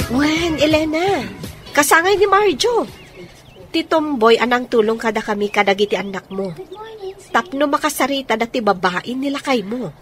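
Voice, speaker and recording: A woman acting as a chatty, pushy friend in a radio drama. She speaks very high with big swings of intonation, music underneath. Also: expressive, moderate pace, fairly bright tone. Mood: urging.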